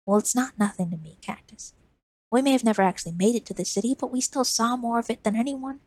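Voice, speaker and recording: A bright-voiced, eager young man, as a woman reader puts him on, pitched well above her own voice and rushing his words in earnest appeals. His tone stays husky and close, with a dry, roomless sound. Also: no echo. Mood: earnest, pleading. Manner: pleading and earnest. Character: eager, trusting young man, hopeful assistant.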